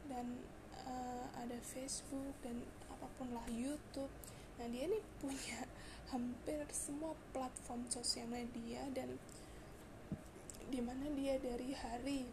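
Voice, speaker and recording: A hoarse young woman hosting a casual solo podcast, chatty and confiding, with frequent fillers and restarts. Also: sits high, noisy background. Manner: chatty, confiding, mixes in English words, many fillers and restarts. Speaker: podcast host.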